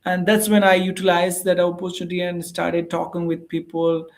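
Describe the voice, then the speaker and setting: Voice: mid-range voice, completely flat delivery, normal speed. An earnest tutor with an Indian English accent, speaking English as a second language after native Telugu. The talk is casual practice conversation, explanatory and patient, with ideas repeated and reworded along the way. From Andhra Pradesh, India.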